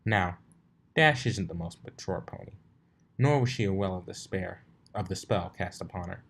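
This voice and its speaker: A man's voice: low voice, normal speed, creaky, a bit bright, breathy edge. A man narrating fan fiction aloud, now and then stumbling and rereading phrases. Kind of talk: story narration read aloud.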